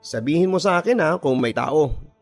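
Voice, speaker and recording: A man's voice: a little high, animated, moderate pace, faint music behind, pitched above the performer's own voice. A man playing an anxious young man in a radio drama, speaking urgently and apologetically. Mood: urgent, uneasy. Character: anxious, pleading young male storyteller in trouble.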